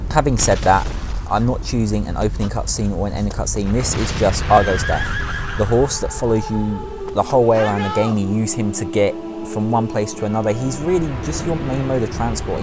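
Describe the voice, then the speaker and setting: A man giving scripted opinion commentary as the presenter of a video game countdown. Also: lowish voice. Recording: music behind the voice. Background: loud music.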